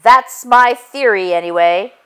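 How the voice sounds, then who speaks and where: A woman's voice: mid-range voice, unhurried, a bit bright, smooth, close to an everyday voice. A woman voicing a male egg detective from a storybook, earnest and wisecracking, with a questioning, matter-of-fact manner. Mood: explaining.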